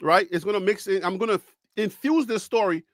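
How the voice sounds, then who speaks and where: Chatty storytelling sports streamer. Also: male voice, high voice, a little fast, dry recording. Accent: Liberian. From Liberia. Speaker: host. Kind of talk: live-stream sports talk. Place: home studio.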